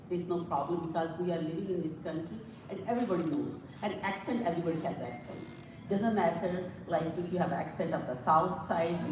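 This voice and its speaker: A middle-aged woman from Pakistan, a native Punjabi speaker with a Pakistani accent, giving an earnest, informal talk as a psychologist to a live audience. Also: on the low side. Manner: earnest, repeats points, mixes in Urdu phrases. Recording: echoey hall from far off with room noise.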